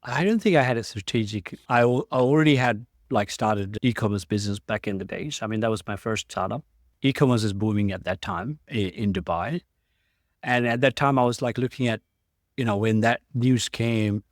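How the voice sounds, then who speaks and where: Halting man from Sri Lanka. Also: mid-range voice, no room sound, clean studio sound. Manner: halting, many restarts and fillers. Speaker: guest, tech founder. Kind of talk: casual interview storytelling.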